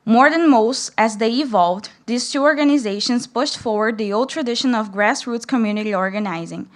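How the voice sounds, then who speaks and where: A woman's voice: a little high, moderate pace. A young woman from Brazil with a Brazilian accent, a native Portuguese speaker, speaking and reading aloud to an audience as a student. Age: young adult. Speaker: student reader at a public read-in.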